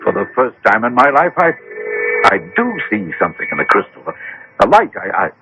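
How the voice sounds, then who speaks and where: An actor playing a headstrong, agitated gentleman in a radio drama, speaking insistently with dramatic, halting exclamations. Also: fairly low pitch, very animated, muffled recording. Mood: awed, horrified. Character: headstrong, agitated gentleman demanding to know his fate. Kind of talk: 1970s-80s radio drama acting. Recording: old radio broadcast, faint noise.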